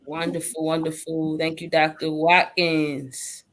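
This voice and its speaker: A woman's voice: deep voice, flat delivery, unhurried. A woman moderating a casual panel, thanking guests and putting questions to them. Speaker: host, moderator.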